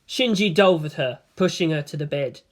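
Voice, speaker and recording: This is a narrator reading a dramatic story. The pitch is middling but moves a great deal. The delivery is scripted, solemn and expressive. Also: normal speed. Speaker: fan fiction narrator.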